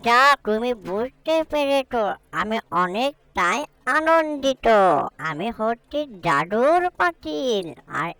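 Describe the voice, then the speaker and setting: Woman as cartoon magic pot, smooth clear voice, unhurried, fussy, expressive. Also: fairly high pitch, dry recording, heavily acted. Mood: pleased. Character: talking magic pot, fussy and scolding, then kindly. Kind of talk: cartoon voice acting.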